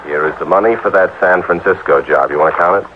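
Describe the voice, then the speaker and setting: A man's voice: deep voice, quick pace, close to an everyday voice. An American actor playing a genial, sly married insurance office man in an old-time radio drama, jovial and coaxing. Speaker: supporting actor in a radio detective series. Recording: thin phone-like old radio, faint noise.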